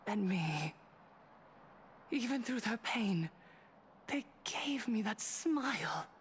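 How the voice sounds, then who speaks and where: An actress playing a fervent female cult preacher of happiness in a video game, solemn and theatrical, with breathy whispered phrase endings. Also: on the high side, a little hiss or hum. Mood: wistful, solemn. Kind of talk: theatrical game voice acting, sermon-like speech.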